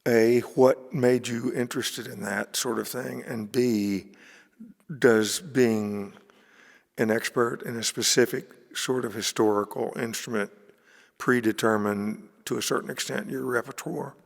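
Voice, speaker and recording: A man's voice: mid-range voice, steady tone, measured pace, vocal fry, in a large hall. A man hosting a casual interview, asking curious, rambling questions. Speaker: interviewer, show host.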